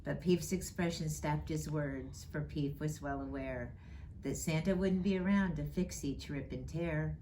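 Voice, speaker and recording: A woman reading a rhyming children's story aloud in a measured, expressive storytelling way. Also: low-pitched, a little hiss or hum, low-quality recording. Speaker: storybook reader in character as Mrs. Claus. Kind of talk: scripted children's storybook narration in rhyming verse.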